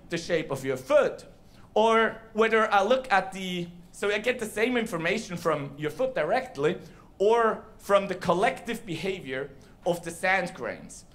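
Man, 30s, light high voice, casual science talk on stage, handheld mic. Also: fairly bright tone. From Switzerland. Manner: casual, explanatory, with fillers. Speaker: science slam presenter. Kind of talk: live science talk to an audience. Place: large dark theater stage. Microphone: handheld microphone.

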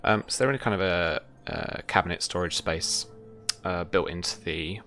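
A young man with a very low, bright voice runs a tabletop game, describing scenes off the cuff. He pauses thoughtfully between phrases, and faint music plays behind him. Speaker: game master. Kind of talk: unscripted tabletop game narration. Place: indoor room, online video call.

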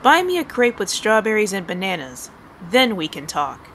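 A woman's voice: medium pitch, very animated, normal speed, a bit bright, slight background noise. A woman playing a flustered, easily irritated young assistant in an audio drama. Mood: annoyed. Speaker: voice actor.

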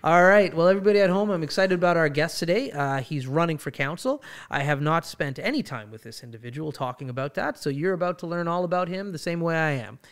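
A man with a fairly high-pitched voice hosting a casual talk show in a small studio. He sounds upbeat and animated, and he puts his questions to the guest directly. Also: quick pace, hardly any pauses, clean tone. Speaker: podcast host. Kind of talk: casual morning show chat. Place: small studio room with armchairs. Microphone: boom-mounted studio mic.

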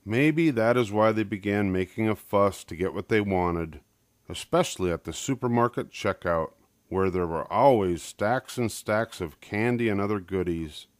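A man's voice: on the low side, normal speed, no room sound, clean studio sound. An older man narrating a children's picture book as a scripted story reading.

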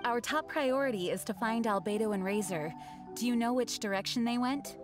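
A woman's voice: fairly high pitch, a little fast, over music, natural voice. A woman voicing a composed, dignified young female knight leader in a video game, earnest and formal. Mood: earnest, resolute.

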